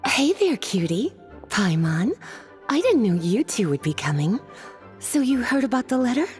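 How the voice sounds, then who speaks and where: A self-assured young female knight from a video game, with a warm, mellow timbre. She is playful and lively in intonation, with faint music behind her. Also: fairly high pitch, normal speed. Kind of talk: video game character acting.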